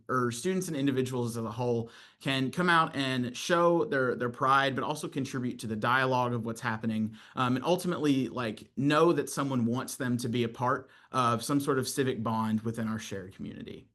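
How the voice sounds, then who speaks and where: A man's voice: mid-range voice, a bit bright. A young man from Kentucky, a student fellow giving an earnest presentation on a video call with frequent ums. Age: young adult. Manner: earnest, many filler ums. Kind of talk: online panel presentation.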